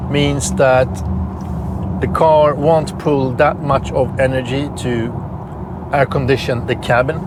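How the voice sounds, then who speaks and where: A man's voice: mid-range voice, level tone, background noise. Swedish man explaining while driving. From Sweden. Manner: plain, explanatory, slightly halting with repeated words. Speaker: electric car reviewer. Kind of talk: casual vlog narration while driving.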